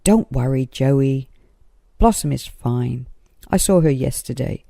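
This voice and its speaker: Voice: medium pitch, expressive, slow pace, vocal fry, mellow, dry recording, studio quality, exaggerated voice. A storybook narrator voicing an easygoing, curious young male kangaroo in a casual, friendly manner. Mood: casual reassurance.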